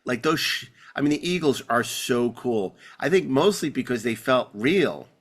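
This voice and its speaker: Man, middle-aged, American accent, medium pitch, podcast host, casual, enthusiastic, rambling. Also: expressive, moderate pace. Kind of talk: casual enthusiastic fan chat.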